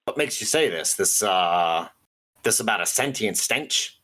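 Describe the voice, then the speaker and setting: An actor playing an earnest small-town radio host who speaks in a conspiratorial, hesitant way with dry asides. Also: male voice, fairly low pitch, lively intonation, unhurried, sharp tone, rough recording. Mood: sympathetic. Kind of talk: audio drama radio call-in hosting.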